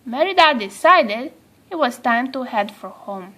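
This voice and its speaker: A woman reading a children's story aloud in an expressive storytelling manner. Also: on the high side, unhurried. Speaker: storybook reader.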